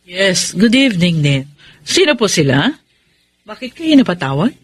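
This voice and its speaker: A woman's voice: fairly low pitch, very animated, moderate pace, husky, dry recording. A woman with a Filipino accent playing an anxious, earnest middle-aged mother in a radio drama. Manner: pleading, earnest.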